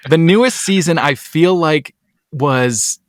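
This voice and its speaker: A man hosting a podcast, talking casually with lots of ums and quick asides. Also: fairly high pitch, no room sound, clean studio sound. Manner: chatty, many ums, rising asides. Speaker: podcast host. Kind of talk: casual chat.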